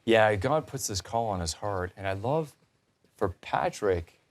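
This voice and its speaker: Low-voiced male talk show host. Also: animated, measured pace. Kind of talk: conversational interview hosting and commentary.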